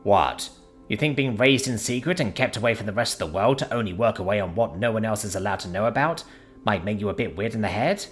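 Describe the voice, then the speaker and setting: Dude reading as a dry sarcastic girl, kinda flat and unbothered. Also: lowish voice, quick pace, faint music behind, lightly acted. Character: dry, sarcastic young woman, Jackie.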